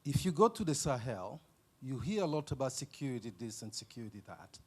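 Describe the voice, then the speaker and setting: A man's voice: medium pitch, very animated, fairly quick, slightly rough. A man who works at a research institute, asking a conference panel a pointed question. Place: conference hall.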